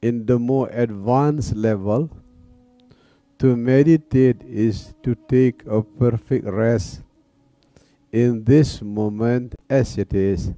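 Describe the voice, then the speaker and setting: A man teaching guided meditation in a calm manner, leaving long pauses between phrases. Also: mid-range voice, no room sound. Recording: dull, lo-fi sound. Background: soft music.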